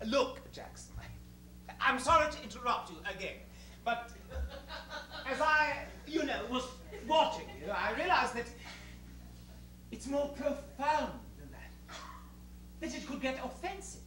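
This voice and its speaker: An actor playing an exasperated, uneasy male hotel boss, halting yet commanding, in a theatrical stage style. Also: very high-pitched, animated, pushed and bright, heavily acted. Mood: uneasy, placating. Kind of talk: theatrical two-hander stage acting. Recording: distant roomy stage recording with hiss.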